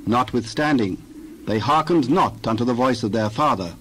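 A man's voice: mid-range voice, animated, moderate pace, a bit bright, low-quality recording. Male Bible narrator, background noise.

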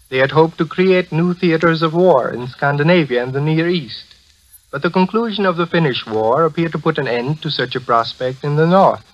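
A man giving a formal, measured scripted commentary as an analyst on a 1940s radio broadcast. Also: on the high side, fairly bright tone, highs cut off. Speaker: foreign policy analyst, radio speaker. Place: radio studio.

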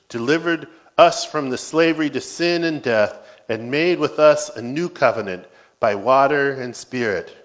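A man serving as pastor, reciting a communion liturgy in a measured, solemn way in a church. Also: medium pitch. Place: church sanctuary.

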